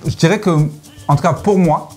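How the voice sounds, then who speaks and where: A man's voice: sits high, fairly quick, darkish tone, faint music behind. A young man from Senegal, chatting as an interview guest, joking and playful, explaining with many fillers. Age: young adult. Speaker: guest, content creator. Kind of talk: casual interview chat.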